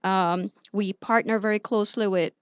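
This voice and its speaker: Casting: female specialist as radio guest, polite and explanatory, clean smooth tone, dry mic. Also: medium pitch, fairly even intonation, moderate pace, no echo, lo-fi sound. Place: radio studio. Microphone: studio microphone.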